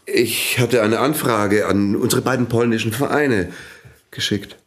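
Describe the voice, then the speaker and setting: An actor playing a stern, pompous priest who invented a language and leads its club, in a radio drama. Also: male voice, fairly low pitch, a little slow, roomy sound, lightly acted. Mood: indignant. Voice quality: pompous, scornful. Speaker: club leader.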